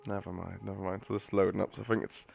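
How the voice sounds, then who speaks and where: A man's voice: low-pitched, level tone, racing, creaky. A British man giving casual, rambling live commentary as he streams a video game. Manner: rambling, offhand. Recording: game music behind the voice. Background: video game music.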